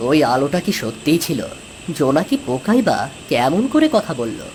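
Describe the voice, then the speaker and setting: A man's voice: a little high, very animated, moderate pace, a little mellow, slight background noise. A man playing a kind, honest village fisherman father in a Bangla cartoon, speaking earnestly. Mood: wondering, uneasy.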